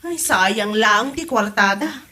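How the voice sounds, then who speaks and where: A woman's voice: mid-range voice, animated, takes it slowly, sharp tone. A woman acting a nosy, chatty wife who gossips about her in-laws in an Ilocano comedy drama, with an Ilocano accent. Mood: puzzled, disapproving.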